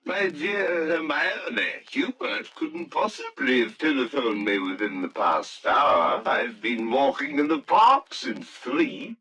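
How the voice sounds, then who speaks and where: An actor in an old-time radio drama playing a genial, bewildered gentleman friend, polite and emphatic in his protests. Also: medium pitch, very animated, slow pace, hardly any pauses, full character voice, poor audio. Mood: baffled, protesting.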